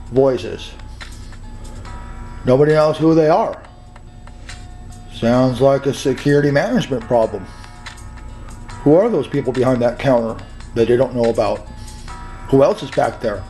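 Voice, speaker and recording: A man's voice: mid-range voice, unhurried, long pauses, warm tone, soft background music. A man narrating his own case in a personal, dramatized video style.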